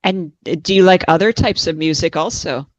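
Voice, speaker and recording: A woman's voice: fairly low pitch, fairly quick, no room sound, muffled recording. A woman acting as examiner in a mock speaking exam, prompting the candidate with short follow-up questions.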